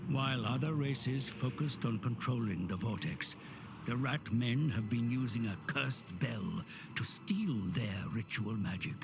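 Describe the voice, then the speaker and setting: A man voicing a grim, ominous narrator of dark fantasy game cutscenes, gravelly and theatrical, with breathy phrase endings. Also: mid-range voice, expressive, background noise. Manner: slow, theatrical, portentous. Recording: loud music under the voice.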